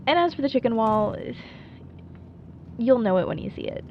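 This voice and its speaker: A woman's voice: fairly high pitch, expressive, normal speed, muffled recording, background noise, lightly acted. A woman playing a wry, playful small-town late-night radio host in a scripted monologue, chatty and dryly self-deprecating. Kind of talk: scripted radio show monologue, audio roleplay.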